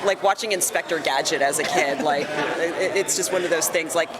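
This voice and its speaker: A woman giving casual interview answers in a halting, enthusiastic way, as a voice actor. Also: low voice, rough recording. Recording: busy convention crowd noise. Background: convention crowd chatter.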